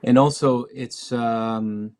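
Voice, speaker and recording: A man from Mexico City who moved to Canada as a teenager, speaking as a musician being interviewed, reflective and halting as he thinks. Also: medium pitch, fairly even intonation, darkish tone. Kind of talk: casual conversational interview.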